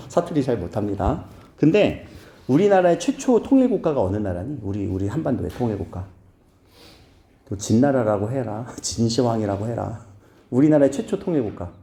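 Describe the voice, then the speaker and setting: Man, teacher, playful, quizzes the class, mellow dark voice, roomy echo. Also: medium pitch, normal speed. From Seoul. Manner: lively, questioning students, playful asides. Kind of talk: classroom lecture.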